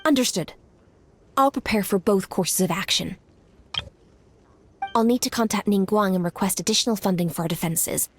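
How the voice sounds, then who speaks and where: A dutiful female commander in a video game, acted by a woman, composed and businesslike. Her medium-pitched voice is measured and formal, with soft music behind it. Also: lightly acted. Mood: brisk, resolute. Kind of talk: scripted video game voice acting.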